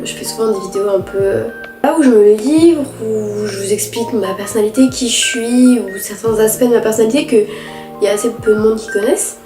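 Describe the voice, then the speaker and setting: A teenage girl with a head cold, talking nasally through a candid, halting confessional vlog. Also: on the high side, echoey room. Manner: halting, candid, many restarts and fillers. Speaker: vlogger.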